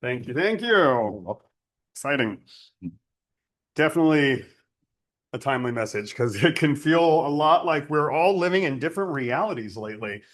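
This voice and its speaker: A man delivering a guided spiritual sermon as a teacher, reflective and deliberate, repeating phrases for emphasis. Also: on the high side, animated.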